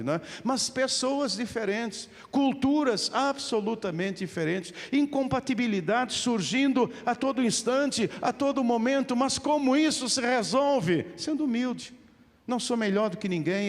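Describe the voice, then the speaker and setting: Portuguese pastor preaching in a reverberant hall. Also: male voice, high-pitched. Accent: Portuguese (European). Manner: emphatic repetition, addressing listeners directly. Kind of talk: evangelical sermon. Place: large church hall, live service. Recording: long hall reverb of a church.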